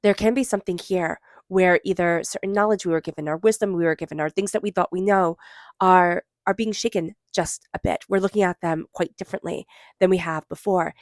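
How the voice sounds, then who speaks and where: A woman with a stuffy cold giving a spiritual tarot reading, gentle and meandering, slowing into soft chanted invocations. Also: lowish voice, steady tone, no room sound, studio quality. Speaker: tarot reader.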